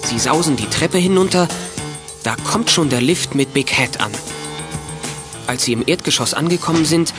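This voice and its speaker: A man's voice: on the high side, moderate pace, long pauses. A man narrating an audio drama from a script. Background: music.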